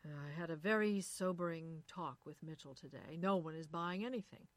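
A woman voicing a bitter, anxious husband in his thirties who lectures and shouts. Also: low-pitched, normal speed, dry recording. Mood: lecturing. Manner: resentful, lecturing, rising to shouting.

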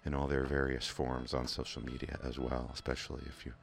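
Very deep-voiced Kentucky radio DJ man. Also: brisk, vocal fry. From England. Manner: relaxed, rambling, many "uh" fillers and restarts. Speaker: radio DJ host. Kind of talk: casual radio DJ talk. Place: radio studio. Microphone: studio microphone.

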